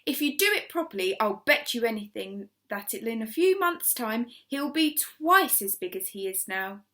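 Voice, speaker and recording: A woman voicing an eager, confident older man who explains and coaxes in an emphatic, deliberate way, reading from a storybook. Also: on the high side, big pitch swings, pushed and bright. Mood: coaching.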